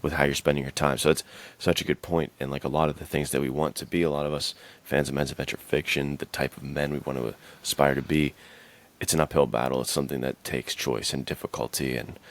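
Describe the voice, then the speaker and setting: Man, extremely deep low voice, casual podcast host, earnest, reflective, informal phrasing. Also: flat delivery, fast. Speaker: podcast host, adventure fiction author. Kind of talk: casual chat about writing and self-discipline.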